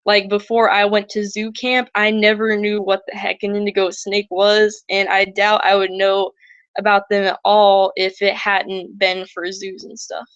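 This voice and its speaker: A young woman hosting a casual livestream, chatting in a rambling way with many fillers, her voice raspy and a little shaky from asthma. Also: mid-range voice, little pitch movement, a little slow, a bit bright, no echo, muffled recording. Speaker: livestream host. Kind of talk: casual educational livestream talk.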